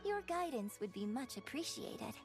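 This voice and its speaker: High-voiced courteous young scholar. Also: female voice, very animated, moderate pace, over music. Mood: respectful. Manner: polite, articulate, formal. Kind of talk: English video game voice acting.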